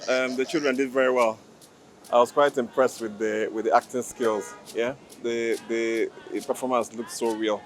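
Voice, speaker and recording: A man's voice: medium pitch, steady tone, moderate pace. A man giving an impromptu testimonial as an audience member. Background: music.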